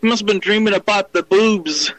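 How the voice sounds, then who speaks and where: A man's voice: high voice, fairly quick, fairly bright tone, poor audio. A man in a casual internet radio chat, trading teasing jokes and mock protests. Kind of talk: casual joking banter.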